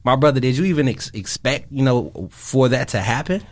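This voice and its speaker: Casting: male radio interviewer, average pitch, casual, hyped street-slang delivery with doubled-up starts. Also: lively intonation, fairly quick. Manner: slangy, hyped, stutters into phrases.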